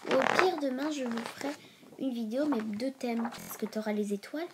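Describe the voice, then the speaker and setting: A woman's voice: on the high side, normal speed, heavy background noise. A woman with a stuffy nose from a cold hosting a casual educational video, reading aloud and breaking into informal asides as she stumbles over long words. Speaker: video host.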